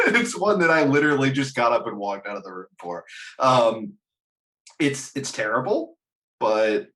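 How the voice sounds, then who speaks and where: Guy cohosting a geeky chat podcast, slow talker but really up and down in pitch, blunt and sweary. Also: big pitch swings, fairly bright tone. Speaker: podcast host. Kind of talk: casual film and game discussion chat.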